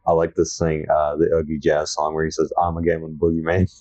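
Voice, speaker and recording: A young man with a low voice co-hosting a casual podcast chat, an enthusiastic horror fan who rambles a little. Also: completely flat delivery, brisk, darkish tone, no echo. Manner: enthusiastic, self-described horror fanatic, rambling. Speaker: podcast co-host. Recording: dry, dull lo-fi sound.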